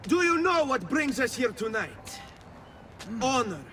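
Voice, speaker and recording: A man's voice: extremely high pitch, sing-song, unhurried, pushed and bright, slight background noise, heavily acted. An actor playing a bold young nobleman, rousing a crowd in a game cutscene. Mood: rallying. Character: bold young Italian nobleman, proud and defiant. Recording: game audio with music behind. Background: clear music.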